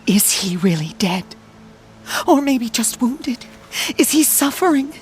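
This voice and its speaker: A woman's voice: on the high side, very animated, slow, a little mellow, slight background noise. An actress playing an anxious, grieving tribeswoman in a video game, hesitant and earnest as she pleads. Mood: distraught, pleading. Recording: music under the voice.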